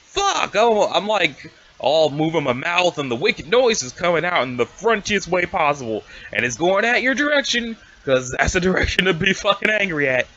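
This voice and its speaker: A man plays a laid-back, dim alien slacker in an amateur comic drama. He rambles in profane bro slang, with a lively, swooping pitch. The sound is a little dull and lo-fi. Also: moderate pace, exaggerated voice. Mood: clownish anger. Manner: rambling, slangy, sweary stoner talk.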